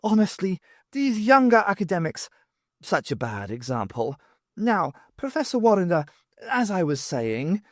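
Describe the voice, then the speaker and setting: A man voicing a haughty old lady academic in an audiobook, pompous, competitive and quick to scold. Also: high voice, sing-song, no room sound, clean studio sound, voice pushed up. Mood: indignant, scolding. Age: older. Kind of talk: audiobook character voice.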